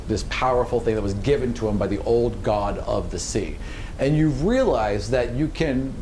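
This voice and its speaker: A man's voice: medium pitch, darkish tone, a little hiss or hum. A man working as game master, improvising narration for a tabletop game in a conversational, explanatory way.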